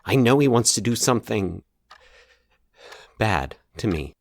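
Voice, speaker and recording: A man voicing an anxious, halting man confessing a recurring nightmare in a horror audio story. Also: on the low side, big pitch swings, gravelly, no room sound, exaggerated voice. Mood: tense, dread. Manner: nervous, halting, stumbling over his words. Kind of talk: dramatized horror audio fiction.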